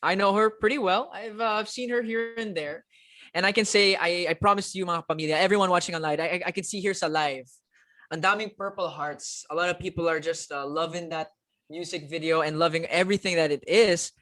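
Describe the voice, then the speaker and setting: A man's voice: sits high, fairly quick, bright, forceful tone. Chatty man hosting a launch. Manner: upbeat, chatty, switching between English and Tagalog with many fillers. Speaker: host. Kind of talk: live-streamed media announcement hosting.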